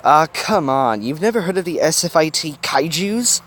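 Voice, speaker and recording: A man's voice: a little high, normal speed, pitched above the performer's own voice. Man doing a goofy, teasing young mascot guy. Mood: playful. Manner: excitable, teasing. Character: goofy young male mascot, comic-loving slacker.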